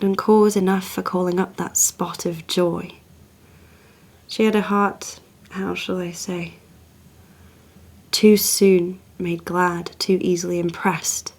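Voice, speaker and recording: Woman voicing a slow, menacing, haughty duke. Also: fairly low pitch, slightly rough, exaggerated voice. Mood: simmering disdain. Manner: haughty, measured, hushed and insinuating. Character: cold, menacing, proud Renaissance duke, possessive and controlling. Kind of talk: dramatic monologue, poetry reading.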